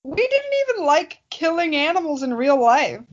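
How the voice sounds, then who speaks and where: A woman's voice: high-pitched, big pitch swings, moderate pace, highs cut off. A woman podcast co-host making casual, teasing, sarcastic comments.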